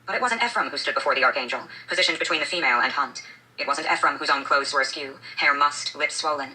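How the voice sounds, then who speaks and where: A woman's voice: sits low, fast talker, bright, forceful tone, low-quality recording. A woman reading scripted fiction as an audiobook narrator.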